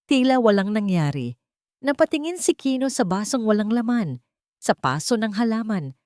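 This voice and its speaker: A woman's voice: medium pitch, darkish tone, no echo, studio quality. A woman narrating a scripted dramatic family story as a storyteller.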